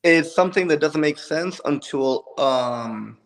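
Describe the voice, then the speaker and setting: A young man from Puerto Rico with a lisp, a wrestler answering interview questions in a relaxed, joking way with many ums. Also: a little high, a little slow, vocal fry. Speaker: wrestler, guest. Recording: long reverb.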